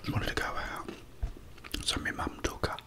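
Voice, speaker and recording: Whispering British man, ASMR storytelling. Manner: unhurried, rambling, anecdotal. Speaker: ASMR host.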